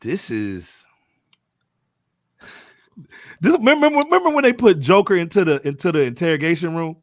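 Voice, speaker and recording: A man's voice: a little high, very animated, moderate pace, no room sound, studio quality. An American man hosting a music panel, talking casually in street slang and bantering with his panelists. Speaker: podcast host moderating a music panel.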